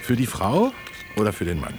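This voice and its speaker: Loud music plays behind a low-pitched older man in a radio drama. He is an aging dentist, sardonic and nostalgic, dropping wry, laconic remarks to his old drinking companion. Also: wildly swooping pitch, fairly quick. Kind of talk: German radio play dialogue.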